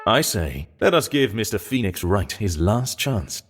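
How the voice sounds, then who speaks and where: A man acting a haughty, calculating prosecutor in a video game drama, formal, smug and deliberate. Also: on the low side, very animated. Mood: cool, dismissive. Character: haughty, calculating male prosecutor, coolly superior. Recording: music clearly under the voice.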